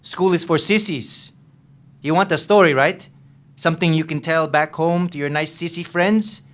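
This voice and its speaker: An actor playing a menacing, volatile lieutenant who taunts and needles with abrupt questions in a dramatic reading of a novel. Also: male voice, a little high, moderate pace, lightly acted. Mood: needling, taunting.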